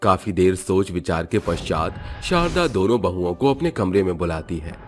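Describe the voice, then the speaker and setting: A man's voice: deep voice, big pitch swings, moderate pace, soft background music. A man doing scripted storybook narration for cartoon tales.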